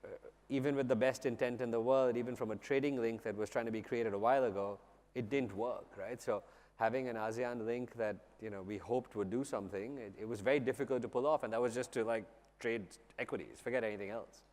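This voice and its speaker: A man's voice: medium pitch, fairly even intonation, quick pace, a little mellow. A man on a conference panel, explaining at length and checking in with "right?" as he goes. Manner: explanatory, hedging with "right?" and restarts. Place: large conference stage. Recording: long hall reverb.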